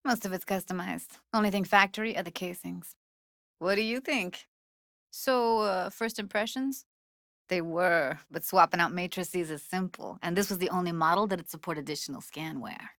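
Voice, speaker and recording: A woman's voice: fairly low pitch, normal speed, fairly bright tone. An actress voicing a streetwise young techie woman in a cyberpunk video game, talking in a casual, slangy, wry way. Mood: focused, explaining. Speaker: video game character actor. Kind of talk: naturalistic game voice acting.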